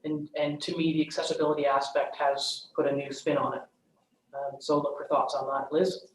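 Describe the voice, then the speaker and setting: Frank higher-voiced man chairing, echoey. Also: flat delivery, moderate pace, slight background noise. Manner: frank, opinionated, calls on others by name. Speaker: chair of a council meeting. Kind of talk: chairing a council discussion. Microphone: video conference mic.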